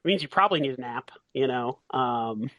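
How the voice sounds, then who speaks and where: Man, medium pitch, casual game narration, playful, conversational, very little room sound. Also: animated, fairly quick, a bit bright, dry recording. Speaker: game master.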